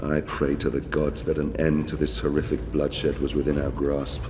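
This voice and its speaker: An actor playing a grave, war-weary Roman centurion, narrating a game cinematic in a solemn, measured way. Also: male voice, extremely low pitch, monotone, a little mellow, slight background noise. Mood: weary, somber. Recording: long reverb, music behind.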